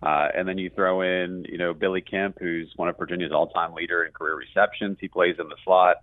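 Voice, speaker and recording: A man's voice: low-pitched, steady tone, a little fast, no room sound. A man talking sports as a radio play-by-play guest, in a chatty, conversational analysis style. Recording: muffled, band-limited phone-like line.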